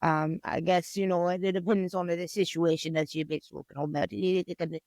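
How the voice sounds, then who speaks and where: A woman's voice: fairly low pitch, fairly even intonation, moderate pace, a little mellow, dry recording. A woman hosting a casual podcast who keeps the talk moving with questions and short reactions. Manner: prompting, chimes in with short reactions. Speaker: podcast host.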